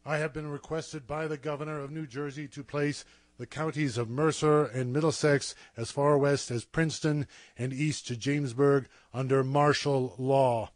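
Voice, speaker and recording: An actor playing a stern brigadier general reading an official statement in a 1930s radio drama, formal and authoritative. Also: male voice, on the high side, fairly even intonation, moderate pace, no echo, clean studio sound, close to an everyday voice.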